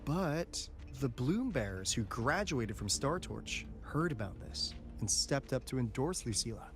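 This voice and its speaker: An actor playing a wry, casual academy insider with a teasing, expressive manner in a video game, with music behind him. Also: male voice, medium pitch, unhurried. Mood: wry, candid. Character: breezy, wry adult male academy insider briefing an auditor.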